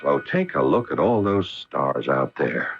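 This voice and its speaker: Astronaut commander, eager and earnest, dramatic radio acting, lively intonation, muffled AM radio recording with hiss. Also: male voice, extremely low pitch, normal speed, full character voice. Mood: awestruck. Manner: earnest, dramatic, musing delivery. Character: eager male astronaut commander, awestruck and ambitious husband. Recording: dull, noisy 1980 AM radio recording.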